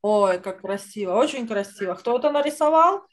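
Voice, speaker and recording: A woman's voice: fairly high pitch, lively intonation, brisk, slight background noise. A woman teaching an online drawing lesson to children, encouraging and full of praise. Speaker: art teacher. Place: indoors on a video call.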